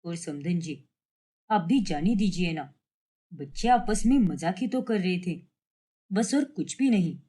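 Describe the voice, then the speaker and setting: Woman as gentle, placating older father-in-law. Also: fairly low pitch, lively intonation, unhurried, long pauses, husky, voice pushed down. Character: conciliatory older father-in-law, calm peacemaker. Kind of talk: cartoon family drama story.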